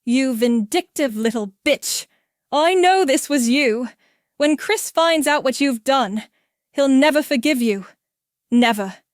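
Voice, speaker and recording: Sex: woman. Figure: a woman playing a shrill, spiteful woman in her sixties. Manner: shouting, panicked, high. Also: lively intonation, takes it slowly, dry recording, studio quality. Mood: shrill rage. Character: shrill panicked mother-in-law, sixty-five, spiteful.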